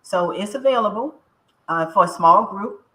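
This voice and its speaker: A woman's voice: medium pitch, expressive, moderate pace, fairly bright tone. A woman appearing as a guest on a live-stream talk show, speaking casually.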